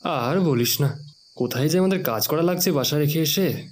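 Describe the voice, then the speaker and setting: A man's voice: fairly high pitch, moderate pace, natural voice. A man voicing an anxious young coworker in a horror cartoon, familiar and cautioning in manner. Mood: incredulous. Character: anxious young male coworker friend, warning. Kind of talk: Bengali horror cartoon dub. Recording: noisy night ambience with insects.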